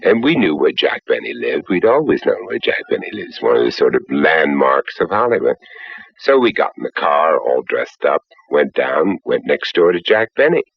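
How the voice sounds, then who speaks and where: An older man, an actor, telling an informal anecdote in an animated, amused way. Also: rumbling low, normal speed, vocal fry, slightly rough, no room sound. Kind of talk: informal storytelling. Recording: dull, dry archive recording.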